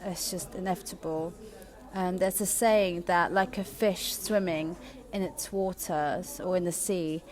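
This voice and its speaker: A young woman artist from Taiwan and England speaks in a reflective, earnest way. Her voice sits a little lower than most women's. She keeps thinking aloud, with ums and false starts as she hunts for the right words. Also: a little mellow. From Taiwan, raised in England. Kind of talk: personal talk about her art.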